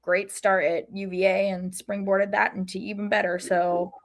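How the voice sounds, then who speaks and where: A woman's voice: mid-range voice, flat delivery, normal speed. A woman co-hosting a soccer podcast in a casual, conversational way. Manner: informal, conversational. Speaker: podcast co-host.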